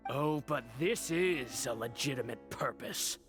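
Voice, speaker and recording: An actor voicing a bitter, jaded, sneering villain in a video game, with a theatrical drawl. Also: male voice, mid-range voice, expressive, a little slow, fairly bright tone. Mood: smug. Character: bitter, jaded male fashion-industry villain, smug and scornful. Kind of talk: dramatic video game voice acting. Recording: game music under the voice.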